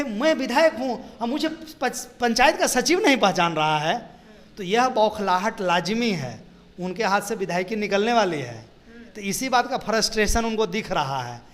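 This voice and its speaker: A man with a Bihari accent speaks in a high-pitched voice as a political spokesperson. He argues his points in an interview style, repeating phrases for emphasis and throwing out rhetorical questions. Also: a bit bright.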